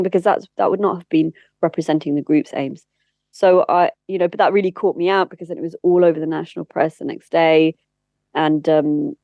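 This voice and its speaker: Low, mellow woman, slightly muffled. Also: gravelly, dry recording. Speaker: interview guest, campaigner and former spokesperson. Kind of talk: conversational interview.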